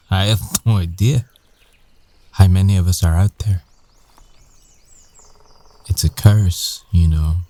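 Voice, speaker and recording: Male actor as tender werewolf lover, weary, low-pitched, unhurried, soft dark tone, breathy halting intimacy, ASMR roleplay. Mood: wry, explaining.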